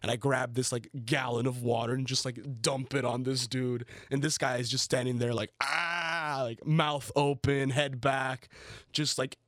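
A man's voice: medium pitch, steady tone, normal speed, a bit bright, no echo, clean studio sound. Young man, talk host, informal slangy storyteller, casual chat, very little room sound. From Colombia and the United States. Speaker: show host.

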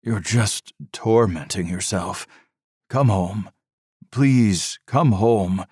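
A man's voice: on the low side, very slow, mellow, breathy edge, dry recording, studio quality, full character voice. A man voicing an anguished middle-aged doctor who groans and pleads with his wife in an audiobook reading. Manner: strained, groaning, restraining.

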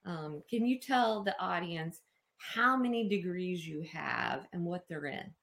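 A woman's voice: lowish voice, lively intonation, unhurried, fairly bright tone. A woman hosting a casual interview show, enthusiastic and affirming toward her guest. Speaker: podcast host.

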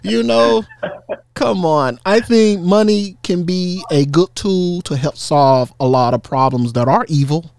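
A man's voice: a little high, measured pace, few pauses, a little mellow, no room sound. Male podcast host, close dry sound. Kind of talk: casual podcast discussion.

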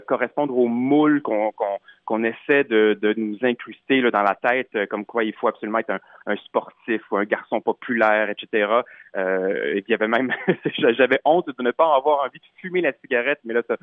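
A man's voice: mid-range voice, moderate pace, no room sound, narrow, tinny audio. A man with a Québécois accent giving a casual interview as a guest, often restarting his sentences. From Quebec, Canada. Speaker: singer in a vocal group, interview guest.

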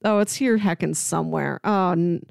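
A woman chatting casually as she retells film scenes, acting out quoted lines as an animated storyteller. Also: on the low side, moderate pace, vocal fry, no echo, clean studio sound. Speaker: film podcast host.

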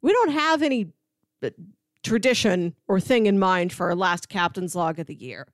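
A woman with a very animated, mid-pitched voice chats as a podcast co-host. She is talkative and playful, in a casual style. The sound is close and dry. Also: normal speed, dry recording. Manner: chatty, playful. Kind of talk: casual podcast chat about media.

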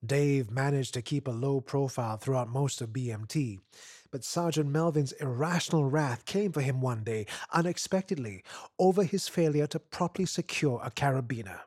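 A man reading literary fiction aloud as an expressive narrator. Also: mid-range voice, normal speed, no echo, clean studio sound. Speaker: story narrator.

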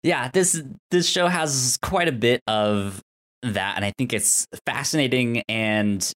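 A man co-hosting a podcast, chatting casually and enthusiastically about television in a halting way, with stuttered sounds and syllables. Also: medium pitch, fairly bright tone. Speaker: podcast co-host. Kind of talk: casual TV show discussion.

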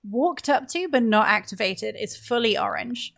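A woman talks casually about a video game. Her voice sits on the higher side and often drops into a gravelly creak. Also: animated, moderate pace, fairly bright tone. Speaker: podcast guest.

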